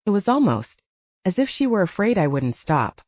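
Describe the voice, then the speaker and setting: A woman's voice: mid-range voice, big pitch swings, normal speed, a little mellow, dry recording, dull audio. A woman reading first-person fiction as an audiobook narrator.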